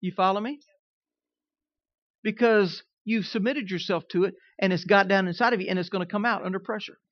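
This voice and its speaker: A man in his fifties with a Southern twang delivers a sermon in a higher-than-usual voice, speaking conversationally and pausing often to check that his listeners are following. The recording is dry and a little dull. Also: no room sound. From Kansas. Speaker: pastor.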